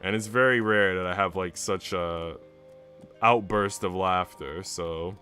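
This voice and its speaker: Low-voiced man chatting on a livestream. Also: unhurried, pushed and bright, faint music behind. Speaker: livestreamer reading visual novels. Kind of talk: casual livestream chat.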